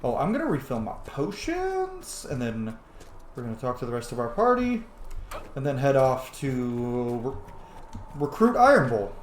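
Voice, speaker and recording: A man's voice: medium pitch, huge pitch swings, normal speed. A man giving casual let's play commentary while roleplaying a video game, rambling and thinking aloud. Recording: roomy echo, faint background noise. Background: video game ambience.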